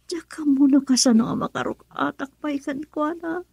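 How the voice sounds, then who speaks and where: A woman acting a wronged, regretful young woman in a radio drama, breathy and pleading, with an Ilocano Filipino accent. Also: high-pitched, normal speed, darkish tone, no room sound. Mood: tearful regret.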